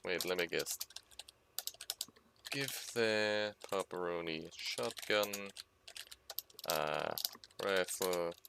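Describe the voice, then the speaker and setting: A young man with a low voice chats loudly and slowly over a live game stream, trailing off and restarting his words. He sits at a computer at home. Keyboard clatter and typing sit clearly behind him. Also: noisy background. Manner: loud, rambling, self-interrupting. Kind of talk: casual live-stream chatter while gaming.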